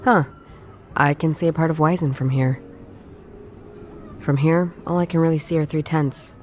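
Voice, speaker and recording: A low-pitched actress as a female ex-detective in a noir adventure game. She is dry and guarded, with a deadpan, sardonic edge and an understated delivery. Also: normal speed, a little hiss or hum, lightly acted. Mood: musing, observant. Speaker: video game protagonist. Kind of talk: scripted visual novel voice acting.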